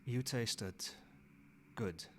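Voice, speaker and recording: A man playing a centuries-old vampire sire in an ASMR roleplay, a soothing, possessive caretaker who speaks softly in measured, formal phrases. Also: medium pitch, slight background noise. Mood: brooding, restrained.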